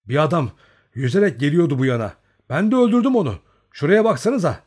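A man voicing an excitable, hot-headed young Cossack sentry in an audiobook story, exclaiming urgently. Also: a little high, fairly quick, slightly rough, voice pushed up. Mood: urgent insistence.

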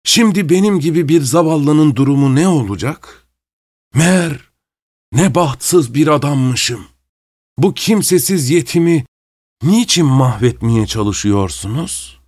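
A male narrator plays a drunken, haughty bully from a storybook. He is imperious and insulting, his voice pushed high and theatrical as he taunts everyone. The recording is close and dry. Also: animated, slow, no echo. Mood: mock pitiful. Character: drunken, arrogant masked man, bullying and mocking.

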